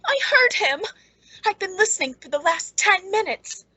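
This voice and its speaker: Actress as bitter, brooding woman, high-pitched voice. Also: very animated, moderate pace, bright, forceful tone, full character voice, low-quality recording. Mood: urgent, hushed alarm. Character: bitter, clever, quietly menacing woman, narrator of the tale. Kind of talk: old-time radio suspense acting.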